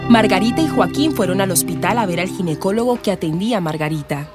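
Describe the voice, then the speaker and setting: A woman's voice: medium pitch, animated, fast talker. A woman giving scripted narration for a radio drama. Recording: clear music behind the voice.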